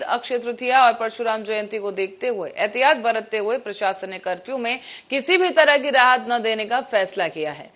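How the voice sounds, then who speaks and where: A woman's voice: high-pitched, sharp tone. A woman news anchor reading scripted headlines and reports.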